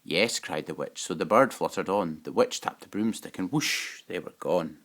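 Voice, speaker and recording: A man giving an expressive, dramatic reading of a rhyming children's picture book. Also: low voice, moderate pace. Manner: dramatic, rhythmic rhyming delivery. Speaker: storybook reader.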